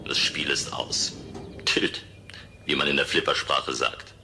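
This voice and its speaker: An actor playing a wistful, playful man of a future city in a German sci-fi radio play, speaking in a measured way. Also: extremely low pitch, flat delivery, sharp tone, faint music behind, heavily acted.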